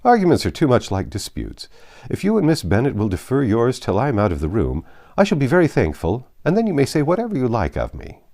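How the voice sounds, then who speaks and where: A man voicing an amiable, outspoken young gentleman in a storybook reading, breezy and polite. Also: mid-range voice, huge pitch swings, quick pace, voice pushed up. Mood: cheerfully exasperated.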